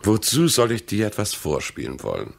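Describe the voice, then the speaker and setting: An actor playing an entranced, secretive husband in a radio play, with a husky, breathy, gravelly voice. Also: male voice, fairly low pitch, huge pitch swings, normal speed, exaggerated voice. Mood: rapt, secretive. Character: entranced, secretive husband back from an underground palace.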